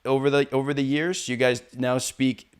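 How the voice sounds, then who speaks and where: A man from Canada hosting a casual interview, relaxed and chatty. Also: medium pitch, normal speed, smooth. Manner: relaxed, chatty, laughs often. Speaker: podcast host.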